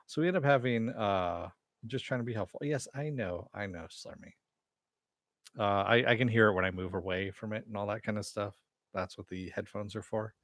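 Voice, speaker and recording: A man's voice: deep voice, no echo, clean studio sound. A man hosting a casual live-streamed recap of a TV episode, rambling and chatting with his viewers at home. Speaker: show host reviewing a TV episode. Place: home room. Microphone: headset mic with headphones.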